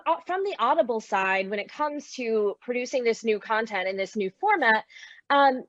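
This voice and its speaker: A woman's voice: on the high side, dry recording, dull audio. A woman moderating a panel interview over a video call.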